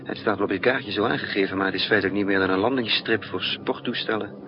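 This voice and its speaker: Actor as a low-voiced spy in old radio drama. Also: male voice, fairly even intonation, fast, close to an everyday voice. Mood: urgent planning. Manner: hesitant, thinking aloud in broken phrases. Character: resourceful male spy narrating his own risky mission. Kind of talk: 1960s Dutch radio drama acting. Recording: muffled, noisy 1960s radio recording.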